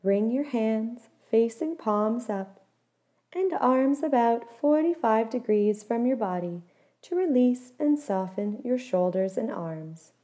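Higher-pitched, mellow woman guiding meditation. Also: expressive. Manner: gentle, measured instruction with soft phrase endings. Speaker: mindfulness meditation guide. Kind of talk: guided body scan meditation.

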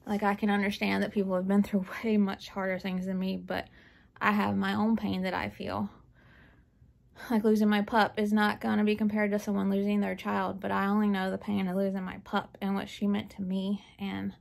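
Blunt woman with a gravelly voice. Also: mid-range voice, monotone, normal speed. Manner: blunt, rambling, laughs nervously. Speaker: book vlogger. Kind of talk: candid personal talk. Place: home, sitting on living room floor.

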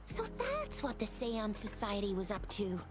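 An actress voicing an eager, upbeat young woman patrol officer who talks in a lively, chatty way in a game. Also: mid-range voice, normal speed, music in the background.

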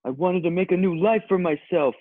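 A tinny, phone-like and very dry call carries a man playing a vain, slow-witted male model. The voice is on the high side, earnest, in a comedy table read. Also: no echo. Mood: earnest, wounded. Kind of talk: amateur table read over video call. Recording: thin phone-like video-call audio.

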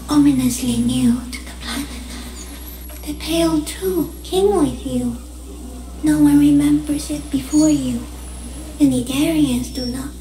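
A woman voicing an ancient, gentle, alien stick insect in a video game, speaking solemnly in measured, poetic, halting phrases. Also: sits high, a little hiss or hum. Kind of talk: dramatic video game dialogue. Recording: echoing voice over game music. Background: music.

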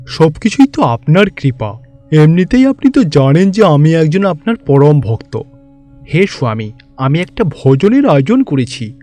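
A man's voice: fairly high pitch, warm tone. A man voicing a vain, boastful god of wealth in a theatrical cartoon fairy-tale style. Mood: fawning, pleading. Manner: pompous, gloating, theatrical. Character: boastful, vain rich god of wealth, fawning before superiors. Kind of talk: Bengali animated fairy-tale voice acting.

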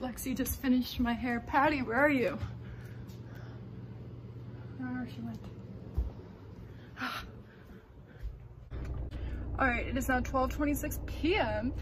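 A woman's voice: sits high, unhurried, long pauses, very noisy. Chatty young woman vlogging on her phone. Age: young adult. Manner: chatty, giggly, narrates her day to the camera. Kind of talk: casual vlog narration. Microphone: phone held at arm's length.

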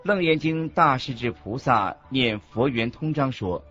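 A man playing a Buddhist monk teacher in a scripted radio lecture, husky, expressive and didactic. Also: mid-range voice, a little slow. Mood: earnest teaching. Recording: dull lo-fi recording with faint music.

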